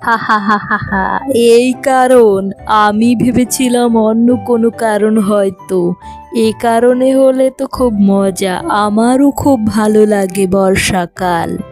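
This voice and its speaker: A woman's voice: mid-range voice, slow pace, a little mellow, soft background music, natural voice. A woman playing a cheerful, indulgent old village grandfather in a children's cartoon, coaxing and easygoing. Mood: amused. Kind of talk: children's fairy tale cartoon dialogue.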